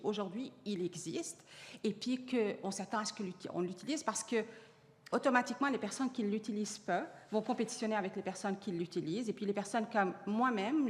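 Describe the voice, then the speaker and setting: A woman panelist with Arabic as her first language talks earnestly and often trips into restarts as she builds her point. Her voice sits at a middle pitch and trails into a long hall reverb. It sounds like a live panel in a conference hall. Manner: earnest, many restarts and fillers. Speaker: panelist on AI in education. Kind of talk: panel discussion answering audience.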